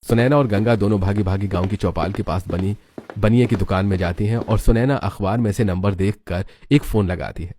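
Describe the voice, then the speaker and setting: A man's voice: lowish voice, a little fast, dark tone. A man narrating a scripted moral story as its storyteller.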